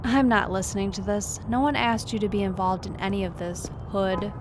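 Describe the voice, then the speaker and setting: A woman playing a tough, sarcastic, weary female police captain in an audio drama, gruff and confrontational. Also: mid-range voice, steady tone, fairly quick, noisy background, natural voice. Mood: defiant.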